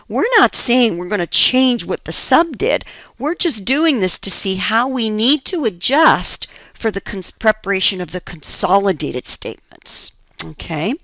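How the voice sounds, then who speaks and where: A woman's voice: medium pitch, a bit bright, dry recording. A woman teaching as an accounting instructor, explaining methodically step by step and often posing questions that she then answers herself. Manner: step-by-step, asks and answers her own questions. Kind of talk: explanatory lecture for an instructional video.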